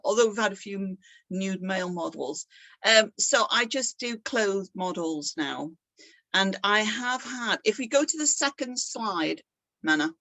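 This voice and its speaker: A woman's voice: mid-range voice, a bit bright, dry recording. A middle-aged woman talking casually about her own artwork, chatty and self-deprecating, laughing often. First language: English. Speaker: artist giving a talk. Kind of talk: casual presentation.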